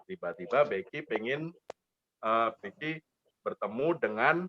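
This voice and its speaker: A man's voice: lowish voice, lively intonation, unhurried, dull audio, a little hiss or hum. A man telling an interactive children's story, playfully coaxing and talking straight to little kids. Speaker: volunteer parent storyteller for kindergarteners.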